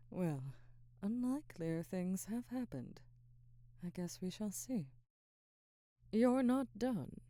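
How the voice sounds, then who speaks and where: A woman playing a middle-aged Hollywood actress, wry, flustered and secretly smitten, in an intimate ASMR roleplay with soft, hesitant murmurs that slip toward whispers. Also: medium pitch, lively intonation, slow pace, long pauses, no room sound, clean studio sound. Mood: wry, guarded.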